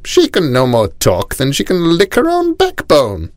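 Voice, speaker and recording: A man's voice: on the high side, huge pitch swings, unhurried, dry recording, clean studio sound, voice pushed up. A man voicing a scornful, disapproving old royal aunt in a storybook, cackling and muttering. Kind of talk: storybook character voice.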